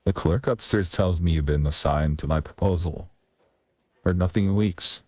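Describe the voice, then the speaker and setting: A man voicing a wistful, rambling theatre man in a video game, with a theatrical, deliberate and wordy manner. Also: sits low, breathy edge, no echo. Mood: hesitant, formal. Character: Carrington, wistful rambling male theatre man pleading his case.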